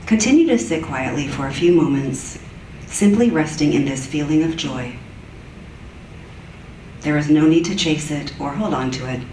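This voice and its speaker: A woman leading a guided meditation in a soft, gentle, soothing way. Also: low-pitched, normal speed, pauses often, a little hiss or hum. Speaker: meditation leader. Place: church sanctuary. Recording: echoey church room from far off.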